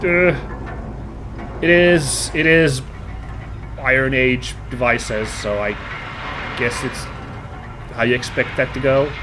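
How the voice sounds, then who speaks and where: A man's voice: mid-range voice, steady tone, slow pace, long pauses, music in the background. An American man giving casual, rambling commentary over a video game, with admiring asides. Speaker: let's play commentator.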